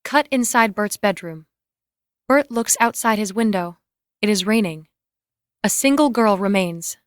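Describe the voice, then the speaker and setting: A woman's voice: mid-range voice, measured pace, dry recording, studio quality. A woman reading scene and camera directions as scripted narration.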